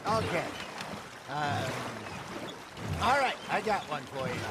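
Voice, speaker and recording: An actor playing an older outlaw in a video game, wry and genial, heard over water and boat noise. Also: male voice, fairly high pitch, lively intonation, slow pace. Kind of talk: video game cutscene acting.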